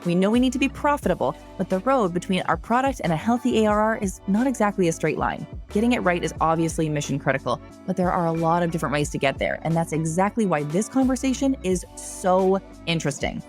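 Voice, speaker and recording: A woman's voice: fairly low pitch, lively intonation, fast talker, few pauses, soft background music. A woman hosting a podcast, upbeat and enthusiastic as she introduces a guest and asks questions. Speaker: podcast host. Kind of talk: scripted intro then interview questions.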